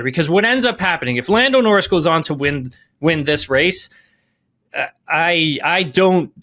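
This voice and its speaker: A man's voice: fairly high pitch, fairly bright tone. A man giving podcast sports analysis, emphatic, rephrasing and repeating key words as he goes.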